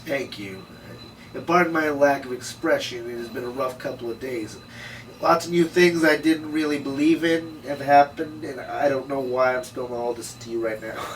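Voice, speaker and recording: A man's voice: medium pitch, moderate pace, close to an everyday voice. Man playing skeptical noir detective, distant mic. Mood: weary, bemused. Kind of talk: script table read. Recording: distant mic, noisy room.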